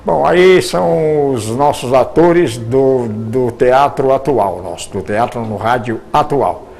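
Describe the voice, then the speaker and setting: Older man, halting storyteller. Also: mid-range voice, gravelly, a little mellow, faint music behind. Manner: halting, repeats words and restarts often. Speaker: interviewee, radio drama veteran. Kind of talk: reminiscing interview answers.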